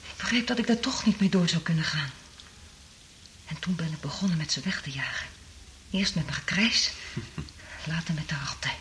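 An actress in a radio drama playing a traumatised woman survivor, half-mad from isolation, speaking in an anguished, halting, dramatic way. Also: sits low, animated, a bit bright, slight background noise. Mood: haunted recounting.

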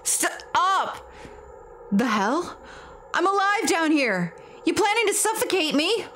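A woman voicing a frightened teenage schoolboy trapped in a horror game, speaking in halting, panicky phrases. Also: extremely high pitch, sing-song, a bit bright, a little hiss or hum, voice pushed up, heavily acted. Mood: panicked, strained.

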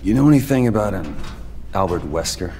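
An actor playing a bitter, tough young mercenary in a video game cutscene, American, with a blunt, sardonic delivery. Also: male voice, low voice, lively intonation, a little fast, dark tone, long reverb tail, slight background noise. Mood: guarded, sardonic. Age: young adult.

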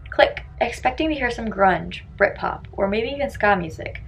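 A woman's voice: medium pitch, expressive, normal speed, vocal fry, a little hiss or hum. A young woman reading a scripted first-person story aloud with dramatic delivery.

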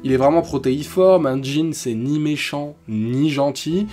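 A man's voice: a little high, unhurried, mellow, soft background music. A young man hosting his channel, talking casually through a ranking of urban legends from a room at his desk, with many fillers and restarts. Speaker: channel host. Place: indoor room, webcam framing.

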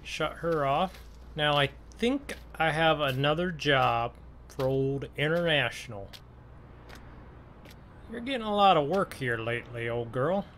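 A man hosts a casual gaming role-play, thinking out loud as he goes. His voice sits a little high for a man and he takes his time between phrases. Vehicle engines run clearly behind his commentary. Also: expressive, measured pace, slight background noise. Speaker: gaming video host. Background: game vehicle engine sounds.

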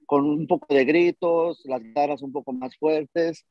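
A man's voice: on the high side, steady tone, a little slow, no room sound, dull audio. A man, a band vocalist, answering interview questions casually over a video call, rambling with fillers.

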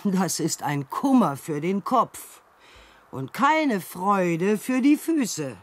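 A fussy old fairy-tale being in a radio play. The voice is husky and wheezy, speaking slowly and swooping up and down with kindly, ponderous care. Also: male voice, high voice, exaggerated voice. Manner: slow, ponderous, expressive.

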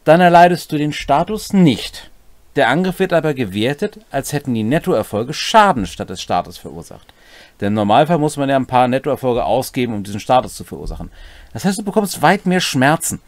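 Middle-aged male co-host, animated pitch and dry close sound, casual rules explainer with playful asides. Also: fairly quick, dry recording. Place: small indoor home room.

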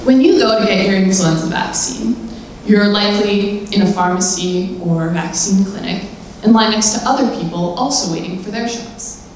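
A young woman student giving a rehearsed, measured research talk in a large lecture hall. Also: mid-range voice, a little mellow, slight background noise. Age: young adult. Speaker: student presenter. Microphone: handheld mic, far camera. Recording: very echoey hall from far off.

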